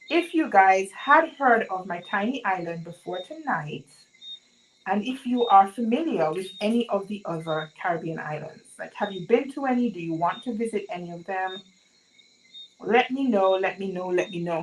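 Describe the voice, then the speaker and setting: A woman's voice: mid-range voice, a little hiss or hum, poor audio. A young woman from Montserrat with a Montserratian accent, chatting with viewers and asking them questions during a sewing livestream. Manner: chatty, asks the chat many questions. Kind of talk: live-stream chat with viewers.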